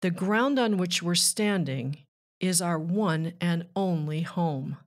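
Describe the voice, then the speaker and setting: Slow-paced woman reading aloud to children. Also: lowish voice. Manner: measured, deliberate phrasing addressed to children. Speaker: children's author and narrator. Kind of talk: read-aloud of a rhyming children's nature book.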